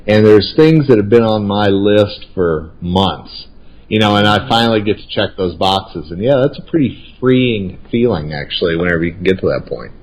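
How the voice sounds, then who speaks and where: A man's voice: lowish voice, animated, moderate pace, breathy edge, poor audio. A man chatting casually as a regular co-host, in a friendly way with many restarts. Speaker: regular guest and co-host, speaker and consultant. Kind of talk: casual conversational chat.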